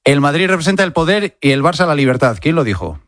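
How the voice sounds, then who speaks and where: A man with a Spanish accent from Spain fires off quiz questions as a radio interviewer. His voice is fairly high and moves around a lot in pitch, at a brisk pace. He keeps it informal and playful. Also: sing-song, fairly bright tone, no echo, clean studio sound, soft background music. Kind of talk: quick-fire quiz interview.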